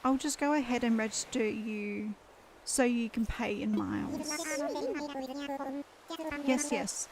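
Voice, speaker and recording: A woman's voice: fairly high pitch, takes it slowly, pauses often, crowd noise. A woman reading aloud the lines of a boastful male raccoon shopkeeper from a video game. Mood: upbeat salesy.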